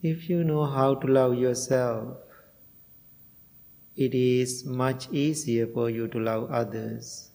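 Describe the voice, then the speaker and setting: A middle-aged man from Sri Lanka, a Buddhist monk guiding a loving-kindness meditation and dharma talk, speaking gently with many pauses and fillers. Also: medium pitch, fairly even intonation, big-room sound.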